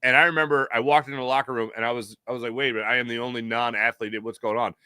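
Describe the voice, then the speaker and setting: Bright-voiced American man hosting sports talk. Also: medium pitch, fairly even intonation, very fast, clean tone, dry recording. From Philadelphia area, United States. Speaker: sports talk show host. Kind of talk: casual sports talk monologue.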